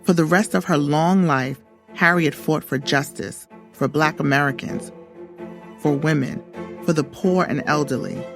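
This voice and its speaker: A woman's voice: deep voice, measured pace. A woman reading a scripted story for children as its narrator. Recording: music under the voice. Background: background music.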